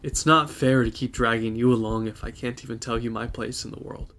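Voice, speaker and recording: A man's voice: mid-range voice, fairly even intonation, fairly quick, fairly bright tone, slight background noise, close to an everyday voice. A young man acting in an audio drama as a conflicted, remorseful boyfriend, speaking earnestly and apologetically. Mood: earnest, anxious. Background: plucked guitar music.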